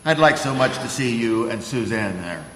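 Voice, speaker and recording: An actor playing a kindly, grandfatherly old Santa Claus in a radio play, gently fussy in the way he talks. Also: mid-range voice, animated, normal speed, reverberant, big-room sound, a little hiss or hum, close to an everyday voice, rough recording.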